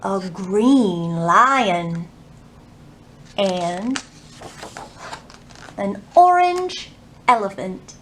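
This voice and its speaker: A woman hosting a children's storytime, reading and teaching in an animated way with careful enunciation for young listeners. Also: medium pitch, extremely slow, long pauses. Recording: roomy echo, faint background noise.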